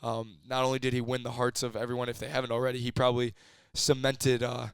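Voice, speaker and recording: A hoarse young man co-hosting a casual podcast in an apartment, rambling with fillers and restarts. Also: medium pitch, monotone, quick pace, dry recording, studio quality. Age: young adult. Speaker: podcast co-host.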